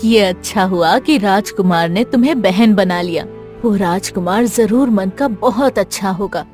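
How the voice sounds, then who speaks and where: A kindly mother cow from a cartoon tale, voiced by a woman, speaks in a medium-pitched, motherly way. Soft music plays behind. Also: a little slow, close to an everyday voice. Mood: approving. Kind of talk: dramatized cartoon story dialogue.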